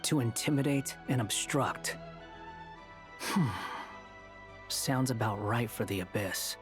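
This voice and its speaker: A man's voice: mid-range voice, close to an everyday voice. A male actor playing a cool, blunt, cynical young man in a game. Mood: dry, measured. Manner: blunt, aloof, deliberate. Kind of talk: game voice acting dialogue. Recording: music behind the voice. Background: background music.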